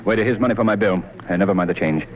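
An actor playing an urbane, thoughtful gentleman sleuth in 1940s radio style, with a measured manner. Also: fairly low pitch, close to an everyday voice. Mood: purposeful. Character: suave, thoughtful gentleman amateur sleuth hiding a secret. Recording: old radio recording, faint noise.

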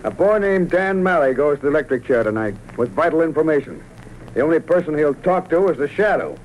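A man plays an earnest, worried police official in an old radio drama. His voice sits fairly high and he speaks formally, over slight recording noise. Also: lively intonation, brisk, lightly acted, rough recording. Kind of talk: 1940s radio acting.

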